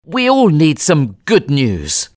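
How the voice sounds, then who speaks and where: A man voicing an excitable, loyal male servant, urgent and breathless, in audiobook dialogue. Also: fairly high pitch, takes it slowly, dry recording, pitched above the performer's own voice, heavily acted. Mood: delighted.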